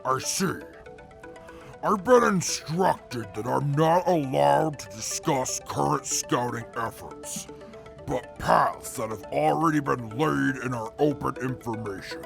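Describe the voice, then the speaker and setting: A man playing a stiff, logical intellectual who speaks formally and deadpan in a post-apocalyptic audio drama. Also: mid-range voice, takes it slowly, creaky, soft background music, full character voice. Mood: formal, measured. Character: Atlas, stiff logical field interviewer, amoral intellectual.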